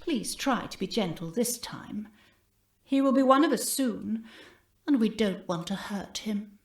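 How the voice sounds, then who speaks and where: A woman playing a menacing, imperious cult leader in an audio drama, slow and theatrical, mock-gentle and often dropping to a whisper. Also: mid-range voice, animated. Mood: coolly condescending. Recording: roomy, echoing interior sound.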